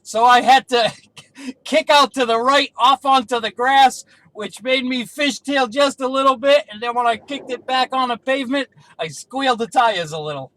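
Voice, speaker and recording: A man's voice: very high voice, normal speed, fairly bright tone, low-quality recording. A man talking casually as a CB radio operator, making brief two-way range-check calls.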